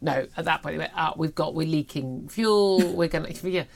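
A woman's voice: deep voice, normal speed, vocal fry. A British woman in her fifties talking through the news as a talk show host, chatty, emphatic and opinionated. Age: 50s.

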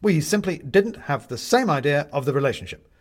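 A man's voice: a little high, animated, brisk, voice pushed up. A man voicing a selfish, testy, defensive man in a storybook reading, speaking with peevish emphasis. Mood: flustered. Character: selfish, peevish, indignant man, shrunken and dead.

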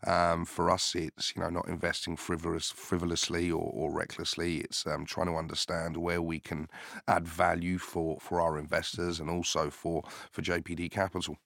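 An extremely deep-voiced man who grew up in the UK speaks in a flat, level tone with a gravelly edge. He answers interview questions in a measured, hesitant way, filling pauses with ums. The recording is dry and close. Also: no room sound. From United Kingdom. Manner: measured and hesitant, many filler sounds. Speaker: company founder being interviewed. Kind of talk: business podcast interview.

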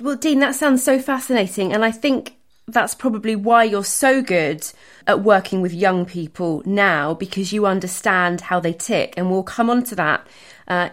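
Encouraging woman interviewer. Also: medium pitch. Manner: encouraging, attentive. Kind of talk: conversational interview.

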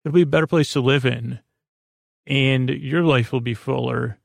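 Male bedtime-podcast host, creaky fry, dry close recording, meandering monologue full of halting repeats. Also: mid-range voice, steady tone, dry recording.

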